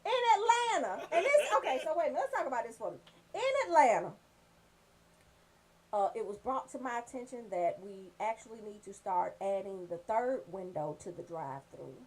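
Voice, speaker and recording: Woman radio host, high voice all over the place, brash casual funny ranting, cusses a lot. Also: a little fast, slight background noise. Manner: brash, profane, animated storytelling. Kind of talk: casual comedic rant on radio show.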